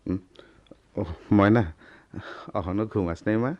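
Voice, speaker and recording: An actor as a low-voiced, patient father. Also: measured pace, no echo, dull audio, lightly acted. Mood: tender, resigned. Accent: colloquial rural Bangladeshi Bengali. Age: middle-aged. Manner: earnest, gentle, philosophical. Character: patient, principled poor goldsmith, a gentle father. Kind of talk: radio drama acting.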